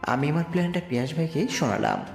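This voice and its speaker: A man's voice: on the high side, animated, fast, vocal fry. A man reading a scripted story in the first person with dramatised delivery. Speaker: narrator and lead character in an audio love story. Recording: echoey reverb with music behind. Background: music.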